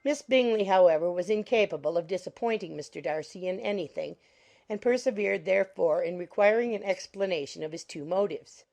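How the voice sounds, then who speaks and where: A woman's voice: medium pitch, brisk, gravelly, darkish tone. A woman narrating a scripted, dramatized audiobook of a classic novel. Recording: music bed under the voice.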